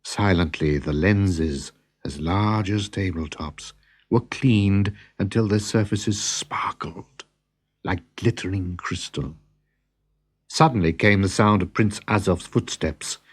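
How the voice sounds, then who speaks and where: A man narrating a children's story as an expressive storyteller. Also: low-pitched, takes it slowly, slightly rough. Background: music.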